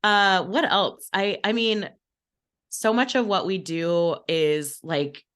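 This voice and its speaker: A woman's voice: medium pitch, slow, fairly bright tone, clear, resonant tone. A young woman chatting casually as co-host, upbeat and filling her talk with "like", a little sick. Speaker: operations manager and co-host. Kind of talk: casual chat.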